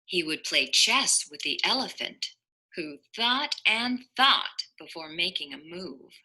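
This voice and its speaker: A woman teacher reads a children's story in a very bright voice with a husky edge. Her pitch moves in lively swings, and she takes her time, leaning on key words for young listeners. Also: low-quality recording. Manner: animated, deliberate, with dramatic emphasis. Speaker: kindergarten teacher reading aloud. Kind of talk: expressive picture-book read-aloud for young children.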